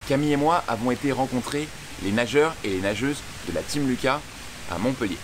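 A man's voice: medium pitch, fairly quick. Young man, swim coach, talks straight to camera, explanatory, audible hiss. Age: young adult. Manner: addresses viewer informally, clear explanatory delivery. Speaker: swim coach and channel host. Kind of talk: direct-to-camera tutorial. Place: outdoors by a pool under a covered walkway.

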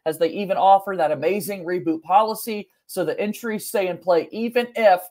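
A young man with a noticeably high voice hosts a sports podcast. He speaks in a conversational presenting style from a room at home. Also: brisk, darkish tone. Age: young adult. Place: small home room, indoors.

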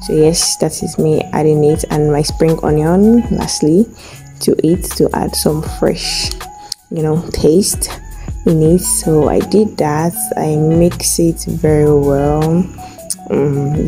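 A woman's voice: deep voice, steady tone, takes it slowly, warm tone, faint music behind, rough recording. A young woman from Nigeria giving a chatty voice-over of her own cooking as a food vlogger, repeating her words and now and then giggling. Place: home kitchen for the intro.

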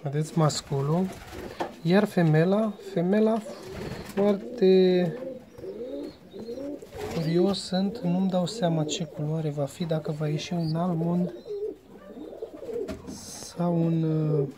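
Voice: mid-range voice, fairly even intonation, pauses often. A speaker, casually narrating a show-and-tell vlog as a pigeon breeder, hesitant and often repeating phrases. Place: pigeon aviary. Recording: noisy, cooing pigeons around. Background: birds cooing, clear ambient noise.

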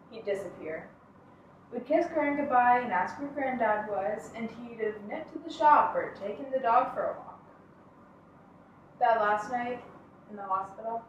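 A woman's voice: medium pitch, close to an everyday voice. A woman acting a dramatic monologue as a plain-spoken, wry woman reminiscing in a conversational, reflective way. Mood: wistful, then subdued, sad. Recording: very echoey room, distant mic, faint noise.

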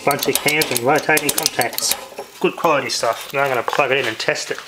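Male DIY vlogger, mid-range voice, casual off-the-cuff delivery, clearly noisy handheld camera audio. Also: medium pitch, steady tone, fairly quick. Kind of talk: casual hands-on repair commentary. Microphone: handheld camera's built-in mic.

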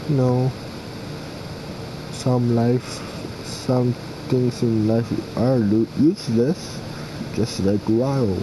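Slow, halting young man commenting on a game. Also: mid-range voice. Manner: halting, musing aloud. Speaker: gaming video host. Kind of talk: casual gameplay commentary. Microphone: mic placed right in front. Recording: steady background hum and noise.

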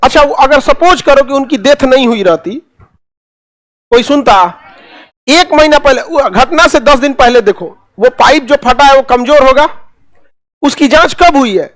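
High-pitched Bihari man lecturing sarcastically. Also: moderate pace, fairly bright tone. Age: 30s. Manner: sarcastic, colloquial, rhetorical questions. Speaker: coaching teacher. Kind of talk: informal classroom lecture with commentary. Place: indoor classroom studio at a presentation board.

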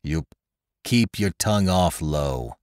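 A man's voice: a bass voice, expressive, slow pace, darkish tone, no room sound, clean studio sound, full character voice. A man voicing a blunt, proud ship's officer in an audiobook sea adventure, curt and commanding. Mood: sharp warning.

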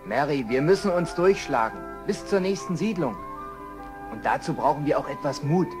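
An actor playing a kind, level-headed expedition survivor in a children's radio play, speaking earnestly and emphatically. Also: male voice, a little high, expressive, normal speed, natural voice. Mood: urging, reasonable. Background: music.

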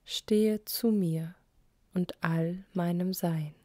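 Female poetry reader: extremely unhurried, warm soft tone, breathy line endings, measured pauses. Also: on the low side, steady tone, no echo, clean studio sound. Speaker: poet. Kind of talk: spoken poetry recitation in short phrases.

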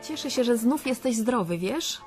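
An actress playing an affectionate, gently reproachful partner in a radio drama, intimate and pointed. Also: female voice, fairly high pitch, animated, normal speed, over music, natural voice. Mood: pleased, pointed.

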